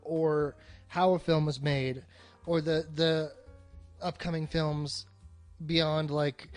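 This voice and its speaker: A man's voice: a little high, little pitch movement, slow, soft background music. A man talking casually and emphatically about films as a podcast co-host, opinionated and swearing freely. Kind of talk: casual film discussion chat.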